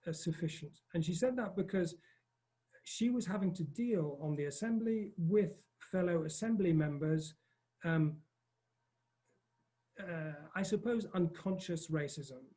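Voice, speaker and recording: Posh british guy from northern scotland, umming a lot, self-deprecating, soft warm tone, talking from a field. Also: a little high. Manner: self-deprecating, halting with many fillers. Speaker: panel speaker. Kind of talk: online panel talk. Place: outdoors in a field.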